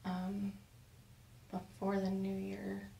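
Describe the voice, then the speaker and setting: A young woman gives a hesitant, casual account as a patient. Her voice is full of fry, recorded in a roomy space with clear background noise. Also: mid-range voice, monotone, slow pace, mellow. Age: young adult. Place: small carpeted treatment room. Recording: roomy sound with background hiss.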